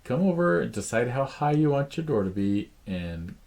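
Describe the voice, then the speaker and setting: A man teaching a drawing lesson, thinking aloud slowly with many pauses, his voice raspy. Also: mid-range voice, sing-song, darkish tone. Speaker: art teacher. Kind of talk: drawing lesson, talking while sketching. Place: art studio.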